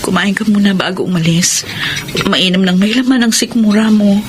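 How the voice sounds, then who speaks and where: An actress playing a gentle, grieving mother in a Filipino radio drama, speaking politely and with emotion. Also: mid-range voice, moderate pace, very noisy, lightly acted. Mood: tender, consoling. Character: grieving, guilt-ridden mother seeking help, earnest and gentle. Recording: radio drama with music underneath.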